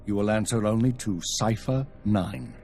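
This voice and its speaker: Casting: male actor as older intelligence chief, low register, formal and authoritative, video game drama. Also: fairly even intonation, moderate pace, darkish tone, faint music behind, lightly acted. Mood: grave, commanding. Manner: clipped, formal, commanding. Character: stern older male spymaster commanding intelligence agents. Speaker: intelligence chief briefing agents.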